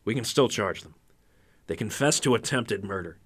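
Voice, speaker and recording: A man playing a hard-nosed, indignant lawman in a radio drama, insistent, with a husky voice. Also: lowish voice, lively intonation, normal speed. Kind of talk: fan radio drama acting.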